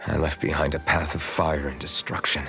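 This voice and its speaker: Deep-voiced brooding young hero. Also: male voice, little pitch movement, fairly quick, sharp tone, exaggerated voice. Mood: guilt-ridden. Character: brooding young swordsman hero, self-doubting, sincere. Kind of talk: anime video game dub acting. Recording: game dialogue over background music.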